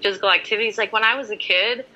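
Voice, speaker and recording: Very bright-voiced woman in her forties, chatting. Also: mid-range voice, very fast, dull audio. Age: 40s. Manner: chatty, informal, self-deprecating. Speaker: interview guest. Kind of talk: casual conversational interview.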